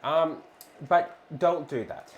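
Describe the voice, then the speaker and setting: A woman's voice: deep voice, lively intonation, slow pace, muffled recording. A woman co-hosting a casual cooking stream, chatty and hesitant, reading viewer comments aloud. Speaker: streamer and co-host. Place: small home kitchen. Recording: echoey kitchen with faint noise.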